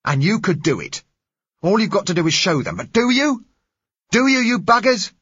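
A man voicing an angry street agitator of about thirty, whose speech is a mix of rough and educated and who shouts passionately. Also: sits high, sing-song, moderate pace, pitched above the performer's own voice, heavily acted. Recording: dull, slightly lo-fi recording.

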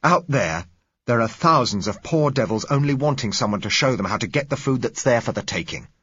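A man voicing an angry street agitator of about thirty, whose speech is a mix of rough and educated and who shouts passionately. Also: mid-range voice, lively intonation, a little fast, voice pushed up. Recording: dull, slightly lo-fi recording.

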